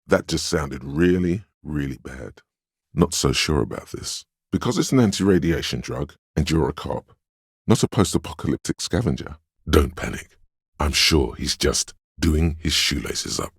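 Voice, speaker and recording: Very deep, stern voice of willpower. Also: male voice, husky, no echo, studio quality, full character voice. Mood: dry, cautioning. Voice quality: very deep, solemn. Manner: measured, grave, admonishing, dryly wry. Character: stern, protective inner voice of willpower, male, middle-aged. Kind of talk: scripted game narration in second person.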